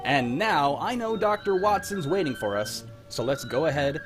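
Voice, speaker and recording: A man performs as a hearty 1940s radio announcer. His voice is a touch high and moves with lively, folksy salesmanship. Music plays clearly underneath him. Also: normal speed, close to an everyday voice. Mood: enthusiastic selling.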